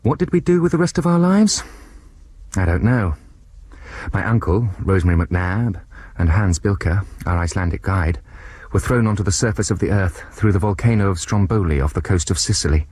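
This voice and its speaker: A man's voice: sits low, lively intonation, a little fast, slightly rough. A man playing a middle-aged German professor who narrates his past adventure in a radio drama, wry and reflective. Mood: wistful. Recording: radio drama with loud music underneath.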